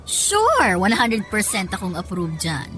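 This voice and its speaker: A woman's voice: medium pitch, huge pitch swings, a little slow, a bit bright. A woman playing a haughty, two-faced middle-aged mother in a radio drama, condescending and theatrical, mixing English into her talk. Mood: fake cheer. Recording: music under the voice.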